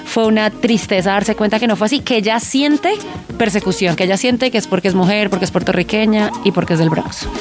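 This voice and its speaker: A woman's voice: medium pitch, a little fast, music in the background. A woman co-hosting a radio show, chatting casually as she recounts an entertainment news story.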